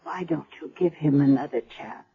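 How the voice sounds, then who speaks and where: An actress playing a frail, tender, dying blind grandmother in a radio drama, speaking gently and haltingly. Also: low-pitched, full character voice. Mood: gently probing. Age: older. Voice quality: husky, frail. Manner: slow, gentle, halting delivery. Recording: dull old 1970s radio broadcast.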